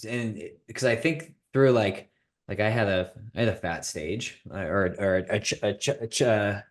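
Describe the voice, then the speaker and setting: A man's voice: fairly low pitch, moderate pace. A man in his thirties hosting a business podcast, chatting casually with candid, self-deprecating humor. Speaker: podcast host.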